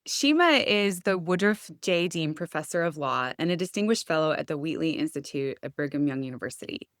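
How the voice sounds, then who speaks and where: A woman's voice: sits low, expressive, brisk, dry recording. Woman host with a close, dry sound. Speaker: podcast host. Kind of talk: prepared introduction and interview questions.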